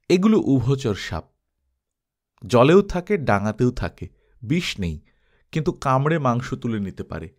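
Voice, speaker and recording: A man reading an adventure story aloud as dramatized audiobook narration, acting expressively through the tale. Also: medium pitch. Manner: expressive, acts out characters' dialogue.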